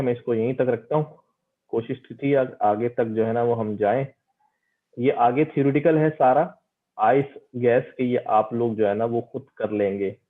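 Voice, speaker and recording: Male teacher, phone-like sound. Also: mid-range voice. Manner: explanatory, repeats words and confirmations. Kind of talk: online lecture with student questions. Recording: muffled phone-like radio-quality audio.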